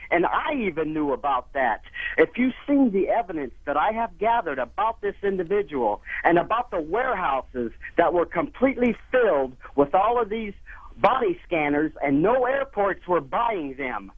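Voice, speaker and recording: A man's voice: high-pitched, big pitch swings, moderate pace, slightly rough, no room sound. A man phoning a talk show over a telephone line, arguing in an insistent, emphatic way. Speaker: phone-in caller. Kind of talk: impassioned call-in argument. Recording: tinny, dry telephone line.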